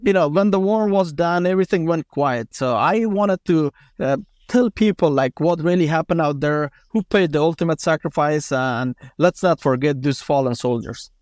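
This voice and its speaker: A man's voice: on the high side, normal speed, few pauses, darkish tone, dry recording, studio quality. A man in his thirties from Afghanistan, speaking English as an in a casual interview, with many fillers and restarts. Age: 30s. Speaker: guest, former special forces commander.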